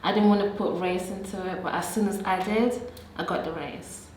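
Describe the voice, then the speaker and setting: A woman's voice: mid-range voice, a little fast. A woman from Gambia, raised in England, with an English accent, chatting casually as a panel guest. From born in Gambia, raised in England. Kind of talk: casual group chat. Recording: very echoey, loud background noise.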